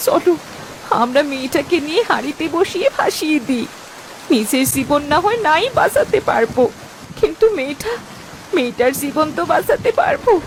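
A woman plays a worried young village wife in a cartoon tale. Her voice sits a little high and swings in melody as she frets and pleads. Faint noise lies behind it. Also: very animated, fairly quick, poor audio. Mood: desperate. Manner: fretful, pleading. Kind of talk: Bengali cartoon dialogue.

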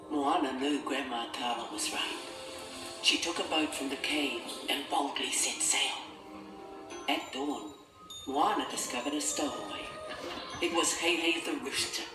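A male narrator reads a children's storybook at a middle pitch, measured and expressive. He sounds a little away from the mic, with music playing clearly underneath. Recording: roomy, distant, music behind.

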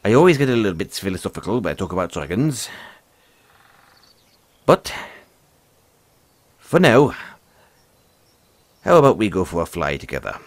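Male actor as a philosophical dragon rider, lowish voice, animated pitch, folksy and earnest, fantasy audio roleplay, noisy background. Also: normal speed, long pauses, no room sound. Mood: friendly, upbeat. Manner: folksy, earnest, musing, says "aye" and "lad". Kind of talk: audio roleplay addressed to the listener.

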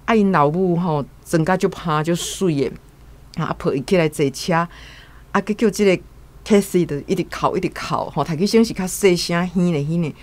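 A woman's voice: on the low side, normal speed, a little mellow. A woman with a Taiwanese accent casually retelling a story as a guest commentator, acting out quotes.

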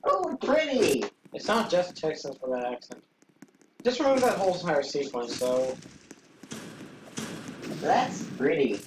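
A woman's voice: low voice, sing-song, unhurried, pauses often, recorded from a distance. A talkative woman explains things while she plays, set back from the mic. Her voice rings in a roomy space with faint noise beneath. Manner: chatty, explaining, giggly. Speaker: gamer. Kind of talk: casual gameplay chat.

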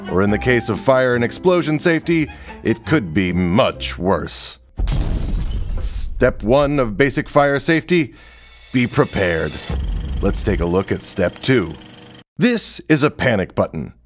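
A young man presenting a scripted workplace safety video in a wry, deadpan joking manner, recorded in a large industrial workshop. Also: medium pitch, unhurried, soft background music. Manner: wry, deadpan jokes between safety tips. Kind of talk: scripted comedic safety narration.

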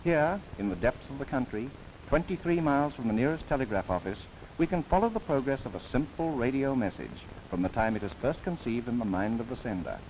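A man's voice: medium pitch, animated, a little fast, darkish tone, slight background noise, poor audio. A man narrating a scripted 1930s government documentary in formal, theatrical phrasing with wry asides, on an old film soundtrack.

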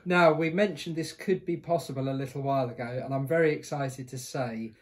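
A middle-aged man with a British accent chats casually as a co-host. His pitch is average for a man. He talks in anecdotes, seated a little way from the mic in a small room. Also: brisk. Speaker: co-host reminiscing about pantomime work. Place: small bare indoor room, seated at a table.

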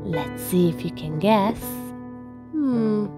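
A woman's voice: medium pitch, expressive, takes it slowly. A woman reading aloud to toddlers as a children's storyteller, in simple, encouraging child-directed speech. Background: faint music.

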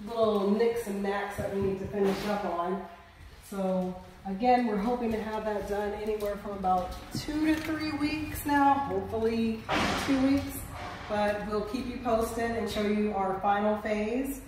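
A woman's voice: medium pitch, measured pace, slight background noise. Middle-aged woman chatting thru a reno tour, echoey bare rooms, kinda far from the phone. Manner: relaxed, chatty, pointing things out. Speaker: real estate investor giving a house tour. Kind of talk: casual walkthrough tour. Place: empty house under renovation, indoors. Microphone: phone camera held by someone else. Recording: echoey empty rooms, voice off-mic.

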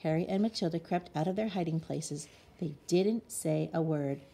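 A woman with a slightly husky voice reading a children's picture book expressively as a bedtime story. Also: low-pitched, moderate pace, mellow, a little hiss or hum.

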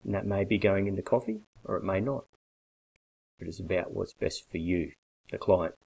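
Deep gravelly man pitching bluntly. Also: moderate pace, darkish tone, poor audio. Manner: blunt, persuasive, emphatic with hand gestures. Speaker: coffee business consultant. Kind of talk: direct-to-camera sales pitch. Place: small bare office with desk, indoors. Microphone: webcam at desk.

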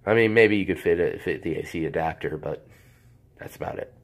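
Hoarse man rambling through a review. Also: low voice, brisk. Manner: rambling, self-correcting, chuckles at himself. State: hoarse, recovering from a cold. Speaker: product reviewer. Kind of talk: casual unboxing review. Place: indoors at a table. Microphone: handheld camera, off-screen speaker.

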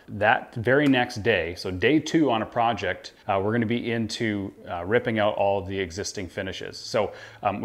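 An American man in his thirties giving an informal, measured explainer to camera as a renovation contractor. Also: on the low side. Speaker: home renovation contractor presenting. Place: indoor kitchen.

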